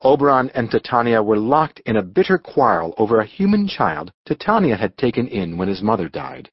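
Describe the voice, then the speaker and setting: A man narrating a storybook audiobook in a measured, expressive storytelling manner. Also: medium pitch, no echo, lo-fi sound.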